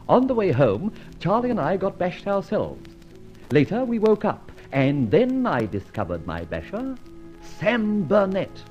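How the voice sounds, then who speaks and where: An actor playing a dashing, breezy gentleman adventurer in an old-time radio detective drama. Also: medium pitch. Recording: dull, lo-fi old radio recording, faint music.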